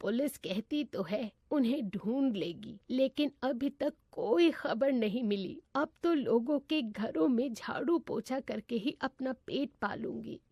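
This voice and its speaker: A woman acts out a poor older female food vendor in a moral story. She is humble and gentle, speaking plaintively and softly deferential, in a dry recording. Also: fairly high pitch, lively intonation, a little slow, few pauses, no echo.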